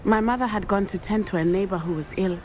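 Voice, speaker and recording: A woman voicing an earnest young female student who calmly tells how her father died, in a video game cutscene. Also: medium pitch, quick pace, slight background noise, natural voice. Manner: earnest, composed storytelling.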